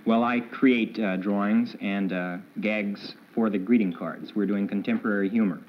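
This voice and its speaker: A man's voice: fairly low pitch, steady tone, moderate pace, slight background noise. A polite, plain-spoken man, a game show contestant answering the host's questions. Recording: dull old television broadcast audio.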